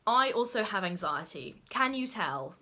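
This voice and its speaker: A woman's voice: medium pitch, sing-song, unhurried, bright, forceful tone. A young adult woman talking casually to camera as a lifestyle YouTuber, chatty and full of "like" and "okay?" asides.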